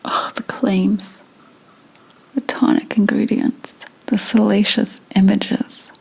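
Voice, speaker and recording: An indignant, conspiratorial witch from the 17th century, acted out by a woman in an ASMR roleplay. Her voice is a very breathy, husky whisper at a middle pitch. She speaks slowly and deliberately, leaving dramatic pauses between phrases. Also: little pitch movement, darkish tone, full character voice, poor audio.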